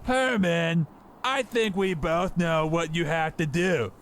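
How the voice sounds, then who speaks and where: A man's voice: on the high side, takes it slowly, a bit bright, slight background noise. A man voicing a nagging, doting working-class mother in a comic old-time radio play. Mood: doting, firm. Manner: chiding, matter-of-fact.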